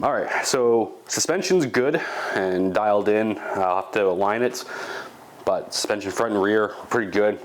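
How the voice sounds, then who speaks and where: Stuffy-nosed guy in his garage, lowish voice, rambly and laid back, lots of ums. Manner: relaxed, rambling, thinking aloud with many fillers. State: congested, sick. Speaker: channel host. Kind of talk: casual garage update vlog. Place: home garage workshop.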